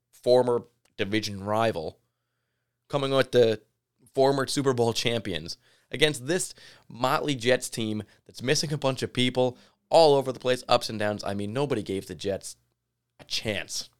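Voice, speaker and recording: A man hosting a casual solo podcast, chatty and excitable, his voice a little raspy from yelling. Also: mid-range voice, normal speed. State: slightly raspy from yelling at a game. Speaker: podcast host. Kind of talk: solo sports podcast talk.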